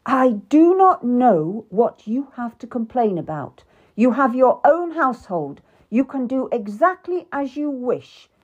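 A woman voicing an impatient, domineering middle-aged king who speaks loudly and curtly. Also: mid-range voice, big pitch swings, takes it slowly, lo-fi sound, full character voice. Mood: angry. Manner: loud, curt and scolding. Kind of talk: audiobook character reading.

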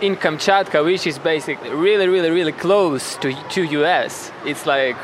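A man's voice: fairly high pitch, moderate pace, a little hiss or hum. A man from western Ukraine with an Eastern European accent, talking casually and reflectively as a podcast guest. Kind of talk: casual interview.

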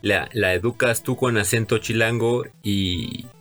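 Man, co-host, low voice, dry wisecracks, faint music. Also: measured pace, a bit bright. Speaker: podcast co-host. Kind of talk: casual chat.

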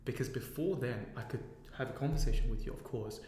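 A man's voice: mid-range voice, fast talker, darkish tone, a little hiss or hum. Man who rambles and gropes for words, reverb-heavy room. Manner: rambling, searches for words, many "like"s. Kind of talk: casual chat. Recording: echoey room with long reverb.

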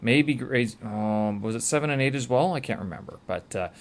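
A man's voice: medium pitch, lively intonation, moderate pace. A man talking casually to camera in a rambling way, with many filler sounds and self-corrections. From Canada. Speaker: vlogger.

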